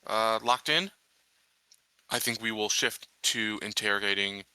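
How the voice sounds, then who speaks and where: A man's voice: lowish voice, unhurried, sharp tone, smooth, dry recording, low-quality recording. A man playing a tabletop roleplaying game, reasoning aloud casually with many qualifiers.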